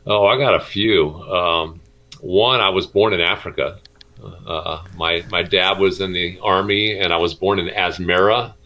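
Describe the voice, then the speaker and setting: A middle-aged man, a schoolteacher, answering interview questions informally in a halting, hesitant way with many pauses. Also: sits low, fairly even intonation, sharp tone, low-quality recording. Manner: halting, hesitant delivery with frequent pauses and repeats. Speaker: middle school math and science teacher.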